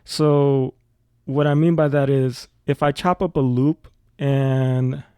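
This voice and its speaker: A man's voice: mid-range voice, fairly even intonation, slow, warm tone, clean tone, no echo. A man giving a casual tutorial as a music production teacher.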